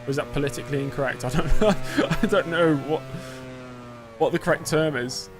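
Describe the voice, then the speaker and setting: A man's voice: on the high side, a little fast, over music. A young British man talking through a racing game live, chatty and self-mocking as he answers his chat. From Britain. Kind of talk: casual live-stream commentary.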